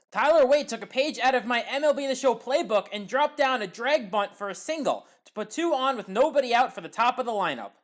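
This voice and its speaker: A woman giving a scripted play-by-play voice-over of game highlights, in a steady recap delivery that lifts on big plays. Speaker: highlights commentator.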